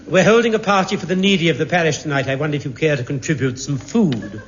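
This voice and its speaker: A man's voice: fairly high pitch, fast talker, lightly acted. A man playing a polite, hesitant parish vicar collecting for charity in a 1950s radio comedy. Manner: polite, hesitant clergyman's manner. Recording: dull vintage radio recording with hiss.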